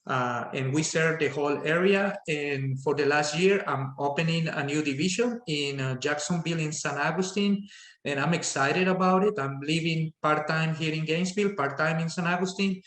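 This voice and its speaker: A man in his fifties from Colombia with a Colombian accent, telling his story as a podcast guest, halting with many fillers and restarts. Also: on the high side, a bit bright, reverberant. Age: 50s. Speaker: guest, business owner. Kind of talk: casual interview storytelling.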